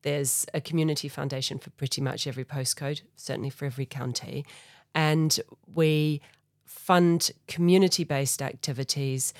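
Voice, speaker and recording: A woman's voice: low-pitched, steady tone, normal speed, a little mellow, dry recording, studio quality. A middle-aged woman with a New Zealand accent, a charity chief executive speaking thoughtfully and earnestly as a podcast guest. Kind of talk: conversational interview.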